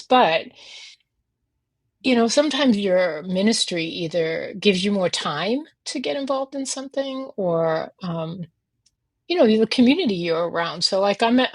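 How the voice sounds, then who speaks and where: A woman with a Boston accent chats casually as a podcast cohost. Her voice is husky and a little breathy, at a middle pitch. The sound is dry and close, and she laughs easily between her thoughts. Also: no room sound. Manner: chatty, laughs often, many fillers. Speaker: podcast cohost, religious sister. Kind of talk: casual chat.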